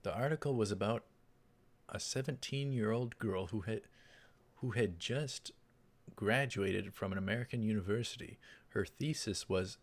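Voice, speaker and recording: A man's voice: lowish voice, moderate pace. A man doing a game playthrough, reading the story text aloud and adding casual asides. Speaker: let's play host. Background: game music.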